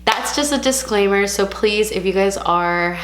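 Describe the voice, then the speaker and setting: Chatty young woman, slightly raspy throat. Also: mid-range voice, a little slow, reverberant, slight background noise. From California. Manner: chatty, frank, sprinkles in Spanish words. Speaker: vlogger. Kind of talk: casual vlog talk to camera.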